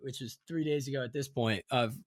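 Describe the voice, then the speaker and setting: A man's voice: mid-range voice, brisk, no echo, studio quality. A young man co-hosting a podcast, chatting casually and slipping into mock voices for jokes. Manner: animated, jokey, breaks into mock voices. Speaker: podcast co-host. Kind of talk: casual chat.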